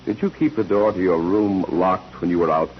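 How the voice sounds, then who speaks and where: Actor as a deep-voiced, probing courtroom examiner. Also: male voice, natural voice. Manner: formal, deliberate questioning. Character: probing, formal, relentless male courtroom examiner. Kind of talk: 1940s radio courtroom drama acting. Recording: muffled, noisy old 1940s radio recording.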